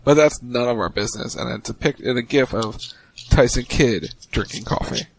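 A man co-hosting a casual talk show, reading tweets aloud with relaxed, joking asides. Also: mid-range voice, moderate pace, creaky, low-quality recording. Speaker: podcast co-host.

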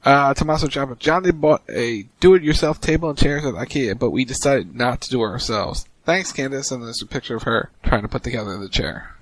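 A man's voice: mid-range voice, brisk, no room sound, poor audio. Easygoing guy reading tweets with jokey asides, dry mic, mid voice. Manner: relaxed, joking asides between quotes. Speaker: podcast co-host. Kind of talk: casual chat reading tweets aloud.

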